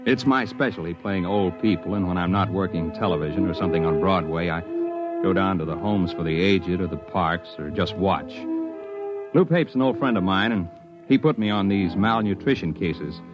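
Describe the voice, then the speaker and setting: A man plays a thoughtful amateur sleuth of about forty in a 1950s radio mystery. His voice is very low, measured and conversational. The recording is dull and dated. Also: over loud music, close to an everyday voice. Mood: reflective, measured. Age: 40s. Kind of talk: 1950s radio drama, first-person noir narration. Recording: muffled vintage radio recording.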